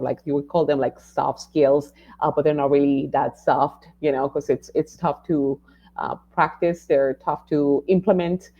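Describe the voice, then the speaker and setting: A woman's voice: low voice, dark tone, lo-fi sound. A woman hosting a live podcast interview, talking in a loose conversational way with many fillers. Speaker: podcast host.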